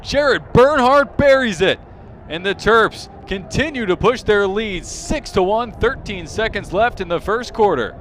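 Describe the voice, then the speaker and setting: A man calling live sports play-by-play as a broadcast commentator, lifting his excitement when the action peaks. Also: sits high, measured pace, slight background noise. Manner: excitement rises sharply on big plays.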